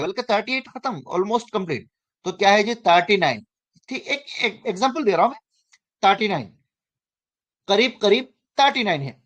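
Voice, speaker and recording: A man's voice: high voice, a bit bright. A man in his thirties teaches a finance class to students online. He talks to them directly, checks again and again that they follow, and fixes his own slips as he goes. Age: 30s. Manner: repeats and checks in with students, self-correcting. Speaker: finance course instructor.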